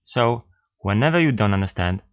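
A man co-hosting a scripted beginner language lesson podcast, steady and explanatory as he prompts listeners, on a slightly dull lo-fi recording. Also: fairly low pitch, moderate pace. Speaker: language teacher, podcast co-host.

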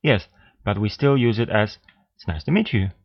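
A man co-hosting a scripted beginner language lesson podcast, steady and explanatory as he prompts listeners, on a slightly dull lo-fi recording. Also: fairly low pitch, moderate pace. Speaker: language teacher, podcast co-host.

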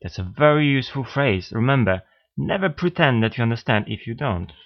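A man co-hosting a scripted beginner language lesson podcast, steady and explanatory as he prompts listeners, on a slightly dull lo-fi recording. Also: medium pitch, a little fast. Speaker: language teacher, podcast co-host.

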